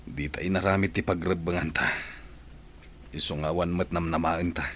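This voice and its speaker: A man's voice: sits low, fairly even intonation, normal speed, fairly bright tone, slight background noise. A man playing a remorseful, defeated father in a radio drama, talking in a heavy, regretful manner. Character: remorseful, defeated father longing for his children. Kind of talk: Ilocano radio drama acting.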